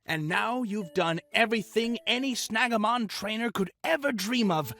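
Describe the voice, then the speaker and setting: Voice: mid-range voice, moderate pace, fairly bright tone, close to an everyday voice. An actor playing a cheerful, theatrical professor in a visual novel game, speaking in an effusive, dramatic, expository way. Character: cheerful, theatrical creature-research professor guiding a trainer. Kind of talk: scripted visual novel character acting. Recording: background music under the voice. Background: game music.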